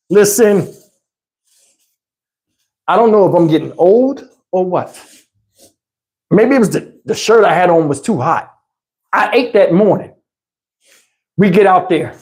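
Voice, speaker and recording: Animated man telling a casual story. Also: very high-pitched. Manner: slangy, profane, emphatic. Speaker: storytelling YouTube host.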